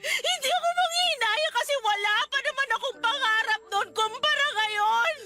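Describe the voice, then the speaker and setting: A young woman with leukemia who is frightened and angry. She is crying, and she pleads in sobbing outbursts in a very high, bright voice. Music plays behind her in this radio drama. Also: moderate pace. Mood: anguished.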